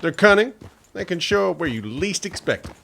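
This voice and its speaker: An actor playing a boastful frontier hunter who spins folksy tall tales in a radio drama. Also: male voice, high voice, big pitch swings, brisk, vocal fry, a bit bright, slight background noise, full character voice.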